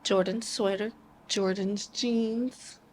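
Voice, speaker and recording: A woman bantering with her sibling in a dry, deadpan, teasing way. Her voice sits at a middling pitch, with faint background noise. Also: takes it slowly. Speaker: vlogger's younger sibling. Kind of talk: casual family banter.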